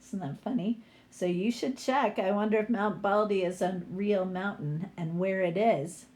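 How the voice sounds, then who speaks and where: A woman's voice: mid-range voice, a little mellow. A woman reading a picture book aloud as a teacher would, with explanatory asides to young listeners. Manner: unhurried, explanatory.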